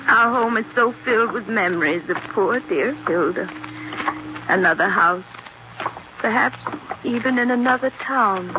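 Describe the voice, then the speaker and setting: A woman's voice: a little high, measured pace, loud music behind the voice, close to an everyday voice. An actress playing a genteel, sinister married woman with a prim, affected manner in an old radio drama. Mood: mock-mournful. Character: genteel, sinister married woman feigning delicate sensibility and grief. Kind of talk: 1940s radio acting. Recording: noisy, muffled 1940s radio recording.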